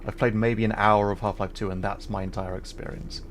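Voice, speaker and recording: A man's voice: lowish voice, flat delivery, brisk, a little hiss or hum. A man livestreaming a video game, giving casual commentary and explaining things in a hesitant, thoughtful way.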